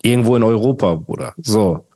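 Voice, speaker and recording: A man's voice: fairly low pitch, expressive, fairly quick, mellow. A male podcast host ranting and mocking in casual, slangy chat.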